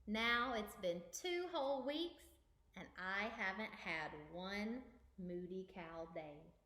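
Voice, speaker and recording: A woman reading a children's story aloud as expressive narration, deliberate and with dramatic pauses. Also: mid-range voice. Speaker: storybook reader. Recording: echoey room.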